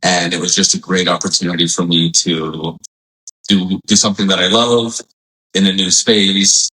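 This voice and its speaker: A man in his forties, from Hawaii, answers interview questions in a friendly, unhurried way, pausing on fillers. His voice sits very low yet sounds bright and forceful. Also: little pitch movement, poor audio. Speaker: physical therapist guest. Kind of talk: casual interview.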